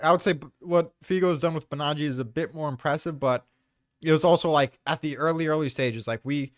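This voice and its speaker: Higher-pitched man debating, close dry sound. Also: brisk, no room sound. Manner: argumentative, casual swearing. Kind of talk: casual chat.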